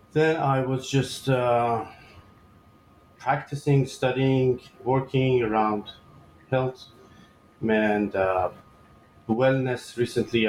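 Hesitant low-voiced man in an echoey room. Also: darkish tone, a little hiss or hum. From Iran. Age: 30s. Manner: hesitant, many fillers and restarts. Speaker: guest surgeon. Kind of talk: interview answers.

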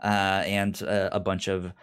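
A man's voice: low-pitched, monotone, measured pace, a bit bright, clear, resonant tone. A man co-hosting a podcast in casual, unscripted chat, often stammering and repeating syllables. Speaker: podcast co-host.

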